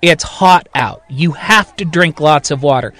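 A man's voice: fairly high pitch, unhurried, fairly bright tone, no room sound. A tired, no-nonsense woman, as a male narrator puts her on, telling off her charge in a raised voice. She sounds exasperated and firm. The tone stays close and dry. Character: tired, firm adult woman minding a grown man.

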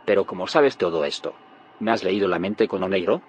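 An actor playing a grave, secretive scientist in an audio drama, solemn and explanatory. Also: male voice, low-pitched, brisk, muffled recording, slight background noise, lightly acted. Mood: startled, guarded. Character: grave, secretive male scientist haunted by failed timelines. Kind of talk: audio fiction drama acting.

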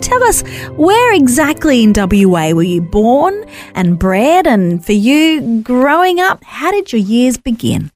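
A woman interviewing a guest with gentle, encouraging questions and short prompts of agreement. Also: fairly high pitch, sing-song, slow pace, faint music behind.

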